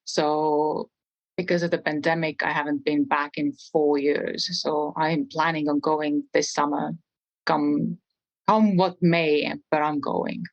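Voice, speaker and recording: A woman's voice: low voice, little pitch movement, takes it slowly, no echo, dull audio. A middle-aged woman from Estonia with an Estonian accent, talking conversationally as an interview guest. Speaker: interview guest, real estate trainer.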